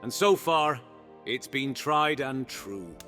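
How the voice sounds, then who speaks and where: A man's voice: mid-range voice, expressive, slow pace, bright, forceful tone, soft background music. A man playing a chatty, zealous medieval monster hunter in a fantasy audio roleplay, with theatrical, old-fashioned phrasing. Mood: chatty, conspiratorial.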